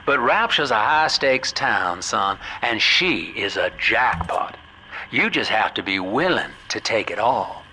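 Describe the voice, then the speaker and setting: Bright, folksy Southern American hustler. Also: male voice, fairly low pitch, sing-song, a little slow, slight background noise, exaggerated voice, low-quality recording. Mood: persuasive, calculating. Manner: folksy salesman patter, avuncular. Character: folksy, scheming male businessman, smooth opportunist guide. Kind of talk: video game voice acting, radio guidance.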